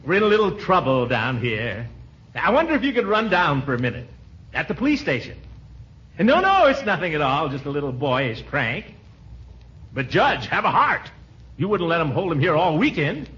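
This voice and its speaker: An actor playing a self-important, easily indignant middle-aged uncle and town official in 1940s radio comedy style, pompous and sputtering. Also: male voice, fairly high pitch, very animated, moderate pace, fairly bright tone. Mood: pleading, flustered. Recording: muffled, hissy 1940s radio broadcast.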